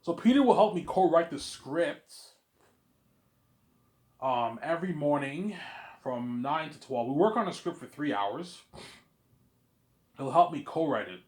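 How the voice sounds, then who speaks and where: A man's voice: a little high, lively intonation, unhurried, long pauses, darkish tone. A young man talking in a casual, rambling monologue, repeating himself and restarting phrases, blunt in his wording. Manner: repetitive, often restarting phrases. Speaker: speaker in a personal series about his planned film.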